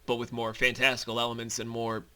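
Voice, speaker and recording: A man who directs a fantasy audio series, co-hosting a casual behind-the-scenes commentary in an animated, colloquial way. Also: mid-range voice, fast, clean tone.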